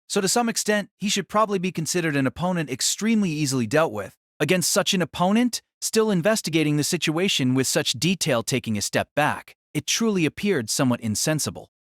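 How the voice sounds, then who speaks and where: A man's voice: on the high side, expressive, fairly quick, few pauses, no room sound, studio quality. A man narrating a novel as an audio drama in a dramatic scripted style.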